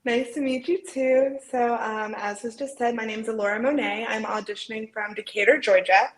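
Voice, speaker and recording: A woman's voice: a little high, steady tone, normal speed, roomy sound, low-quality recording. A woman auditioning as an actor, introducing herself and answering politely.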